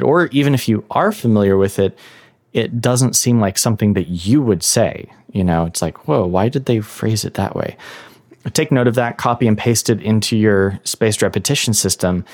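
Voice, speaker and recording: A man's voice: on the low side, darkish tone. A man who is a guest in an interview, explaining his advice on learning languages in a thoughtful, step-by-step way. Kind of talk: interview answers.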